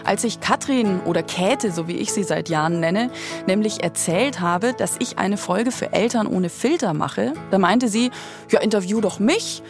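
A woman's voice: medium pitch, moderate pace, music in the background. A woman in her thirties hosting a podcast with conversational narration. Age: 30s. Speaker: podcast host.

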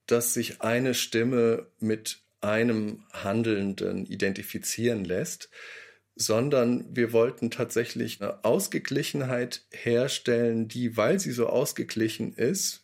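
A man giving a thoughtful, explanatory interview statement as one of the makers of a radio play. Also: medium pitch. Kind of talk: reflective interview talk.

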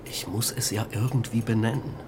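A brooding middle-aged man played by an actor speaks low and hushed in an intimate radio play. He sounds haunted and halting, with clear ambient noise around him. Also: fairly even intonation, lightly acted. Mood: pensive, intimate. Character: brooding East German husband and father, haunted, intimate. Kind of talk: naturalistic radio drama dialogue.

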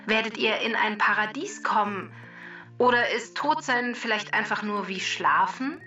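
A woman's voice: medium pitch, unhurried, sharp tone, far from the mic, highs cut off, faint music behind. A woman voicing an immortal talking database in a children's podcast, theatrical and emphatic as she explains and poses quiz questions. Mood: confident, musing. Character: immortal talking database, female quiz-giving know-it-all machine. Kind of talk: scripted explaining and quizzing for children.

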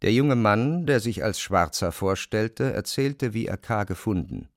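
A man narrates literary prose in a lowish voice with a clear creak in it. The sound is close and very dry, as from a studio. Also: lively intonation, a little slow, no room sound.

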